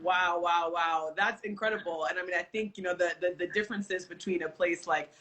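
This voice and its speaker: A woman's voice: lowish voice, fairly even intonation, a little fast, a bit bright, a little hiss or hum. A woman hosting an interview, talking in a bubbly, effusive way and laughing often. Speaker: host. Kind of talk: casual interview chat.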